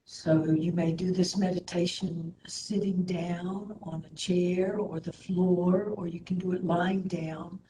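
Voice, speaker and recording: Woman doing guided meditation, really slow n soft, soothing, roomy sound w a bit of noise. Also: on the low side, fairly even intonation. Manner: soothing, gentle, hushed phrase endings. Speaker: meditation and yoga instructor. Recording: roomy echo, faint background noise.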